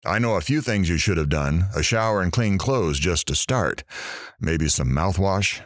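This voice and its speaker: A man's voice: low voice, animated, moderate pace, fairly bright tone, no echo, clean studio sound. A man playing a sardonic, worldly wisecracker commenting in an audio drama. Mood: wry amused. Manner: sardonic, joking.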